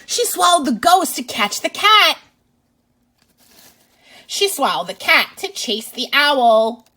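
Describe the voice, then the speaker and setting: A woman's voice: sits high, big pitch swings, slow, pushed and bright. A woman reading a storybook aloud to young children as a teacher and host, with exaggerated expression and asides to the listener.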